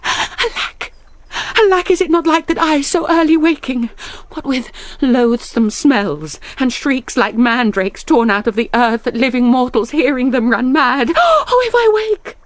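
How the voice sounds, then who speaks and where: A frightened, lovelorn young noblewoman in a Shakespearean radio play. Her British voice is high and she speaks slowly. The delivery is intensely dramatic, dropping into whispers as she steels herself. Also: dry recording. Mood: frantic terror. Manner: breathy dramatic soliloquy, slipping into whispers.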